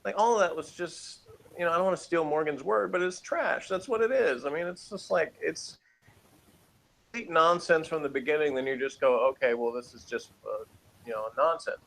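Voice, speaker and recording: A man's voice: on the high side, moderate pace, vocal fry, fairly bright tone, rough recording. A man giving a casual, opinionated review as a podcast co-host, rambling and emphatic in an exasperated way.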